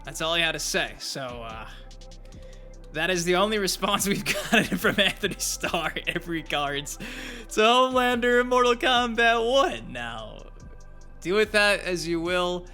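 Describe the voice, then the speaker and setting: A man with a bright, forceful voice set a little high talks as a gaming channel host. He gives casual opinions in loose, slangy language and speaks straight to his viewers. Music plays behind him. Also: animated, unhurried. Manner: colloquial, profane, addresses viewers directly.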